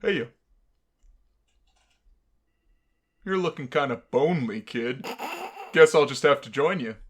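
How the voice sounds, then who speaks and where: A man's voice: medium pitch, expressive, moderate pace, a bit bright. A man voicing a smug, laid-back skeleton comedian with a punning, showman-like delivery for a visual novel. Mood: teasing, casual. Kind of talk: fan visual novel voice-over.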